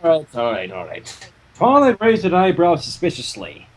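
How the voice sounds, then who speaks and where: A man's voice: fairly high pitch, huge pitch swings, normal speed. A man co-hosting and narrating a live fan fiction reading, with casual banter between passages. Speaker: narrator and co-host.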